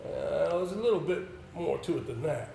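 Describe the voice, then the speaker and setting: Guy acting old lawyer boss onstage, creaky voice, rambly and fatherly, echoey room w some hiss. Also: fairly high pitch, expressive, moderate pace, mellow. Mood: musing, avuncular. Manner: hesitant, musing, throat-clearing. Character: avuncular older male solicitor, senior boss, rambling, brisk. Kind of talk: amateur stage play acting. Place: indoor stage, picked up with room sound. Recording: roomy, noisy amateur theatre recording.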